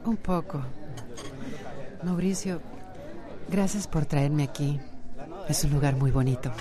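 An actress with a Costa Rican accent playing a troubled, worried woman in a radio drama, halting and repeating her words. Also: sits low, a crowd in the background.

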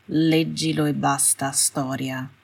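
Lady playing a fed up cold young wizard guy in a story, low and husky, curt. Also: level tone, takes it slowly, full character voice. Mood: annoyed, curt. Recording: roomy, slightly distant home recording.

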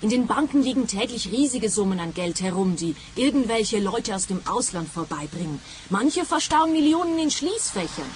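An actress playing a bitter, entitled widow in a detective radio play, dramatic and threatening in manner. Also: female voice, mid-range voice, big pitch swings, normal speed, few pauses, slight background noise, close to an everyday voice. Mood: defensive.

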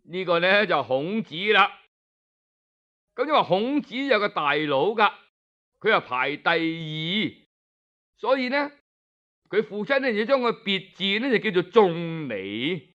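A man tells a tale in Cantonese-accented storyteller style, with a fairly high voice that swings widely in pitch. He takes his time, explaining things conversationally and stretching the particles that close his phrases. The audio is slightly muffled and lo-fi. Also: sing-song, measured pace, long pauses, bright, forceful tone. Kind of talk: Cantonese oral storytelling, scripted yet colloquial narration.

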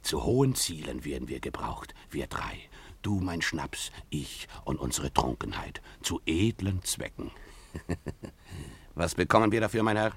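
Gravelly, swaggering drunken pirate captain. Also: male voice, very deep voice, sing-song, a little slow, exaggerated voice. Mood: mocking, drunken. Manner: theatrical, mocking, swinging from mutters to bellows. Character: drunken, boastful, greedy pirate sea captain. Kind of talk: 1950s German radio play acting.

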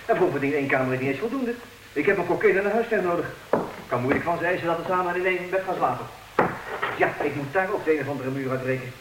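An actor playing a fussy, self-assured bachelor in a comic radio play, insistent and argumentative. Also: male voice, a little high, lively intonation, fast, close to an everyday voice. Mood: indignant, insistent. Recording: very noisy, echoey, distant old radio recording.

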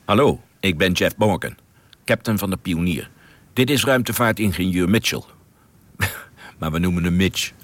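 Low, husky spaceship captain. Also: male voice, expressive, moderate pace, long pauses, fairly bright tone. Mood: affable, amazed. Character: level-headed, cautious male spaceship captain. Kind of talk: radio drama acting.

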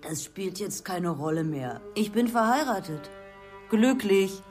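Actress as married woman, radio drama, medium pitch, cool, dismissive, guarded, music in background. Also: expressive, a little slow, darkish tone, close to an everyday voice. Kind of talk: German radio drama acting.

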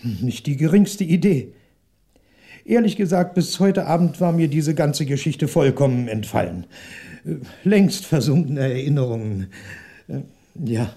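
An actor playing a polite, evasive French shopkeeper and ex-detective in a 1960s German radio crime drama, courteous but hesitant and stalling. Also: male voice, a little high, lively intonation, a little slow, mellow, slightly rough, full character voice.

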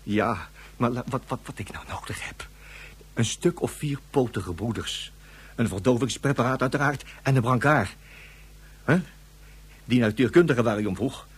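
An actor playing a flustered man in a Dutch radio drama, husky and stammering as he talks urgently and conspiratorially. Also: medium pitch, normal speed, long pauses. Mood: hushed, urgent. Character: flustered man soothing, then secretly reporting a madman. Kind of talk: Dutch science-fiction radio drama acting.

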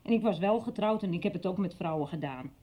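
A woman's voice: lowish voice, lively intonation, quick pace, mellow. An actress playing an outspoken, emotional, exasperated woman in a radio soap. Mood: frank, confiding. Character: exasperated, outspoken adult woman, frank and feisty. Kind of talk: 1990s Dutch radio soap acting.

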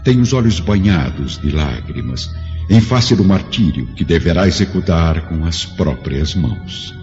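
The solemn storyteller of an ancient tragedy in a radio play. His very deep voice tells it gravely and with literary measure. Music plays clearly behind the slightly dull recording. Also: lively intonation, unhurried, a little mellow. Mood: grave, dramatic. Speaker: narrator. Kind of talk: scripted dramatic narration for a radio play.

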